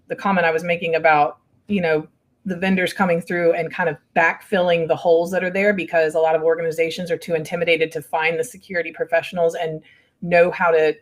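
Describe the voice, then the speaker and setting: A woman co-hosting a casual panel conversation, chatty and enthusiastic, laughing often. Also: fairly low pitch. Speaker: co-host.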